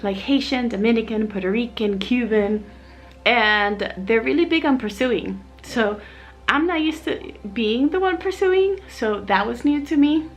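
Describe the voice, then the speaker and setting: A woman's voice: a little high, lively intonation, a little slow, soft background music. A young adult woman from Miami, chatting candidly and reflectively in a casual personal vlog, with fillers and restarts. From Miami, USA. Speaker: vlogger, single mom living in Mexico.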